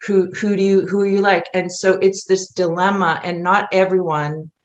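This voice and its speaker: Female, middle-aged, interview guest, medium pitch, earnest and digressive conversational delivery. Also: flat delivery, normal speed, highs cut off. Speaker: theater writer and producer, interview guest.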